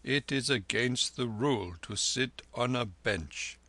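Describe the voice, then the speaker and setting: A man voicing a gentle, otherworldly old lama who speaks slowly and mildly. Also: mid-range voice, no room sound, voice pushed up. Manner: slow, mild.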